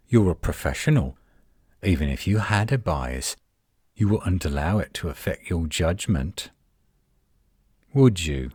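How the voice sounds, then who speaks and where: A man playing a defensive, flirtatious tsundere werewolf in a roleplay audio drama, indignant and sarcastic with a husky voice. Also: sits low, a little mellow, dry recording, clean studio sound, heavily acted. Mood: resentful, sarcastic.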